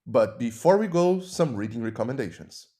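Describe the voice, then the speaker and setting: A man with a Brazilian accent, a native Portuguese speaker, hosting a podcast and explaining legal ideas in measured, teacherly narration. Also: mid-range voice, expressive, warm tone, soft background music. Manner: measured, didactic. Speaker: podcast host and human rights lawyer.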